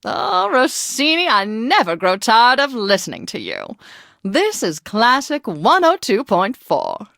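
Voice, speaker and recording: An actress playing a posh, flamboyant, tipsy classical radio DJ in a video game, with a gushing, affected, genteel manner. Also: female voice, a little high, wildly swooping pitch, unhurried, creaky, fairly bright tone, dry recording, clean studio sound, full character voice. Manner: theatrical, affected, genteel and effusive.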